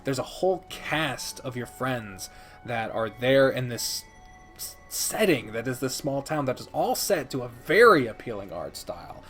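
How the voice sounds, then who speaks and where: A man giving a casual, unscripted video review as host, speaking emphatically and theatrically with dramatic pauses. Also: mid-range voice, sing-song, fairly bright tone, faint music behind. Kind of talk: casual scripted-free game review commentary.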